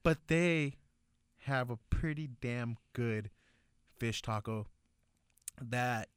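A man's voice: mid-range voice, slow pace, clean tone, dry recording, studio quality. A man co-hosting a casual food chat, talking in a laid-back, slangy way. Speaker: podcast co-host.

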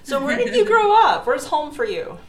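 A woman's voice: sits high, huge pitch swings, moderate pace. A woman hosting an interview podcast, asking questions and giving short, encouraging replies. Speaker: podcast host. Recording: echoey room, faint noise.

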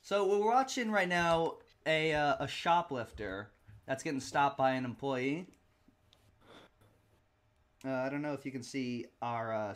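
Playful teasing podcast host man. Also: mid-range voice. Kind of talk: casual comedic podcast banter.

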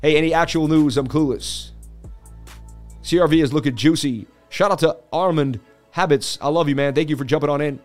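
A man's voice: fairly high pitch, fairly quick, a little mellow, soft background music. A man hosting a casual crypto live stream, rambling and laughing a lot.

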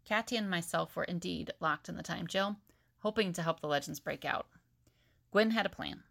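A woman playing a brisk, take-charge leader of a fugitive team in an audio drama, with a creaky voice. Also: lowish voice, fairly bright tone. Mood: earnest.

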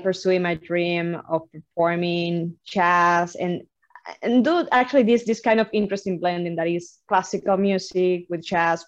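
Young female interview guest, Cuban accent, native Spanish speaker, lower register, casual talk, slightly muffled recording. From Havana, Cuba.

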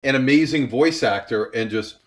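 A man with a mid-pitched voice talks thoughtfully in an interview. He explains things earnestly and articulately, like a creator discussing his craft. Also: moderate pace. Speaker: audio drama writer and creator, interview guest.

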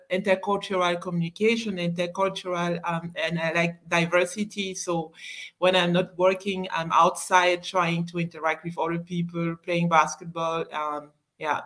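A woman's voice: on the low side, fairly bright tone, low-quality recording. A woman from Cameroon giving a hesitant self-introduction on a video call, with restarts and ums. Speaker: community co-founder.

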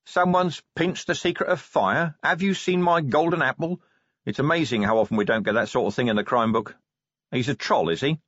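A man's voice: mid-range voice, fairly quick, fairly bright tone, no room sound, voice pushed up, lightly acted. A man voicing a weary, sardonic middle-aged male watch commander who speaks in a dry, sarcastic way, in a dry, slightly dull recording.

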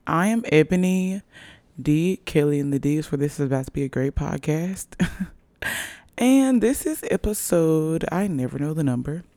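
A young woman talks loosely and playfully into a podcast mic, hyping herself up as she goes. Her voice is raspy and hoarse, with a gravelly fry at the ends of phrases. The sound is close and dry, with no room in it. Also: low-pitched, lively intonation, moderate pace, warm tone, no echo. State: hoarse after a long night. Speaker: podcast host. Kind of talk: casual solo podcast chat. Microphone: podcast microphone.

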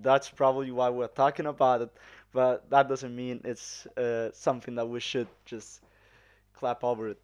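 A young man from Sicily, hosting a casual podcast and often pausing to search for words. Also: mid-range voice, flat delivery, clear, resonant tone, lo-fi sound. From Sicily, Italy. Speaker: podcast host.

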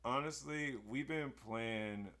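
A man with a hoarse voice hosting a casual podcast chat, emphatic and excitable. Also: mid-range voice, slow pace. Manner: hype, emphatic, bursts into loud exclamations. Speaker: podcast host.

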